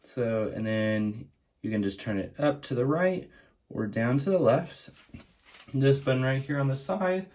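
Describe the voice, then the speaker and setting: A man's voice: mid-range voice, animated, a little slow, darkish tone. A man hosting a casual product unboxing, explaining things plainly, step by step. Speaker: unboxing video host.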